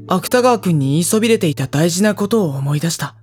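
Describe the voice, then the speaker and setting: A woman playing a gentle, diffident high school boy with a boyish voice in an anime audio drama. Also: expressive, fairly quick, faint music behind, lightly acted. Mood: pensive narration. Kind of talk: anime audio drama dialogue and inner monologue.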